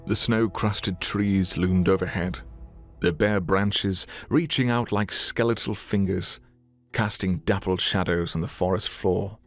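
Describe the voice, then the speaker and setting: A man's voice: deep voice, steady tone, unhurried, faint music behind. A man narrating an audio drama in a scripted, dramatic storytelling style.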